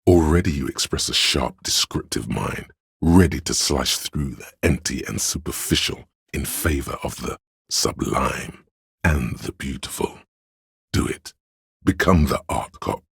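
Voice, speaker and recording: A man playing a cerebral, pretentious inner voice of artistic imagination in a game, narrating theatrically and deliberately in a gravelly, husky voice. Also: a bass voice, expressive, a bit bright, no echo, clean studio sound, heavily acted. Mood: grand, exhorting. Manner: pompous, theatrical, deliberate diction. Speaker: game voice actor. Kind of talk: dramatic scripted game narration.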